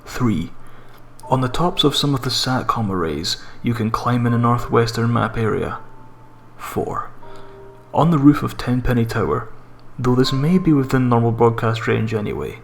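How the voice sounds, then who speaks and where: A man narrating a scripted horror story in a measured, deliberate way, as a creepypasta narrator. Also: medium pitch, expressive, creaky. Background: background music.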